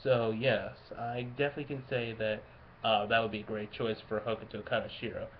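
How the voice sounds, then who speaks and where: A man giving casual, unscripted fan commentary in a halting way, often searching for his words. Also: lowish voice, little pitch movement. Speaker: fan video creator. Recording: muffled lo-fi home mic, background noise.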